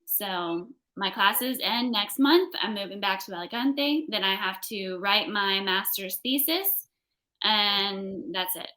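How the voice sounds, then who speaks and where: A woman's voice: mid-range voice, takes it slowly, bright, forceful tone, low-quality recording. A young American woman tutoring English in a casual one-on-one conversation, phrasing things simply for a learner. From United States.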